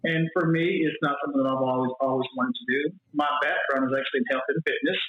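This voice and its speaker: A man's voice: medium pitch, fast. A man in his forties from Mississippi, guest talking casually about his work as a flight attendant, rambling and repeating himself. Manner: repeats words, loose and rambling. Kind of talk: casual interview talk. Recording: echoey room, phone-like audio.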